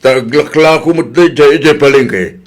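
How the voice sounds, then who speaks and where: A man playing a puzzled, comically slow middle-aged village husband in a radio drama, with exaggerated delivery. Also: on the high side, lightly acted. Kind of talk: Ilocano comedy radio drama acting.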